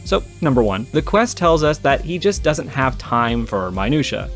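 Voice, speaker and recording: A man delivers scripted video-essay commentary in a mid-pitched voice, with music playing underneath. His manner is irreverent and jokey, slipping into casual, sweary asides as he analyses game storytelling. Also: big pitch swings, normal speed. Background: background music.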